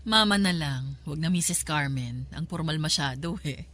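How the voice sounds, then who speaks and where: A woman's voice: low-pitched, normal speed, fairly bright tone. An actress with a Filipino accent playing a two-faced, haughty, wealthy mother in a radio drama, mixing English into her talk. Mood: gushing, falsely sweet. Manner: gushing sweetness switching to cutting contempt, English mixed in.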